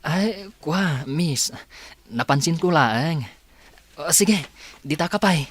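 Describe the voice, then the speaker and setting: A man's voice: on the high side, lively intonation, slow, husky, exaggerated voice. Hesitant, shy young male student. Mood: hesitant, apologetic. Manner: hesitant, apologetic. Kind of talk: radio drama acting.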